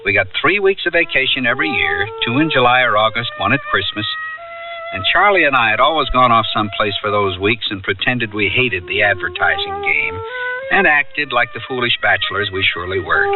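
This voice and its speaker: An actor playing a wry bachelor adman who tells of a summer holiday in a measured, reflective radio drama style. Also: fairly low pitch, recorded from a distance. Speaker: narrator and character in a radio play. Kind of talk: 1970s American radio drama acting. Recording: narrowband lo-fi radio broadcast with music.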